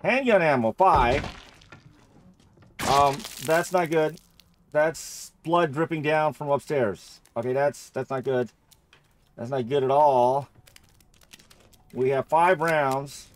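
A man giving casual let's-play commentary, thinking out loud and repeating himself as he goes. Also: fairly high pitch, takes it slowly, long pauses. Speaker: gamer playing and commenting on a horror game.

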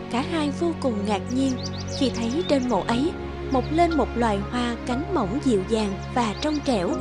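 A woman tells a scripted tale as narrator. Her voice is very high, and clear music plays behind her.

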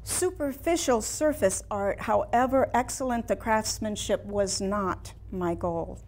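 Older woman acting a wistful, slow-spoken lady painter. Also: mid-range voice, darkish tone, close to an everyday voice. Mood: wistful, reflective. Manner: theatrical, deliberate, reflective. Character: wistful, proud woman painter recalling her early career. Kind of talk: staged reading of a one-woman play. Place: small room in a house before a seated audience.

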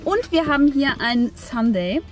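A woman's voice: high voice, lively intonation, unhurried, faint music behind. A young woman hosting a casual food-testing vlog, chatting first in a car and then in a kitchen. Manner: chatty, leads the tests. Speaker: vlog host.

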